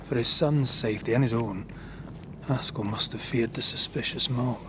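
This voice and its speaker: An actor playing a sober, analytical ghost-hunting investigator in a video game, matter-of-fact in delivery. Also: male voice, mid-range voice, normal speed, noisy background, close to an everyday voice. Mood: pensive, wary.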